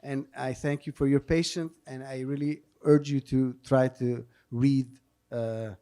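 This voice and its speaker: A man's voice: medium pitch, steady tone, a little slow, mellow, smooth. A man moderating a public panel, explaining and translating off the cuff, carefully and with repeated self-corrections. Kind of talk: spontaneous panel answers and spoken translation. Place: live public event with audience questions.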